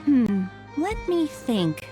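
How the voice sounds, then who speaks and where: Casual, confident young game smith, high and expressive, music under her. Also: extremely slow, exaggerated voice. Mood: surprised. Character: easygoing, confident young woman blacksmith-researcher in fantasy game. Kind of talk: scripted game dialogue. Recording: music behind the voice.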